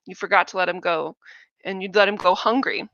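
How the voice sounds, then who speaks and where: Woman doing a giant mean rabbit, sneering and taunting, gravelly voice. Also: mid-range voice, steady tone, a little fast, pushed and bright, no room sound. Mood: scornful. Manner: scornful, taunting. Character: gigantic scornful rabbit, mocking and cruel. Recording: dull lo-fi dry recording.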